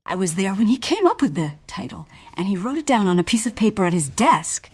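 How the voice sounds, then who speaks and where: An actress in a radio play as a distressed married woman confronting a man, husky and breathy, with halting, dramatic pauses. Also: medium pitch, very animated, darkish tone, no echo. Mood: insistent, hushed.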